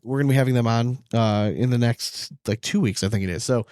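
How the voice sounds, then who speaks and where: A man's voice: medium pitch, brisk, a little mellow, dry recording, studio quality. A man in his thirties co-hosting a podcast in casual, rambling banter with some swearing. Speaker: podcast co-host. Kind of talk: casual chat.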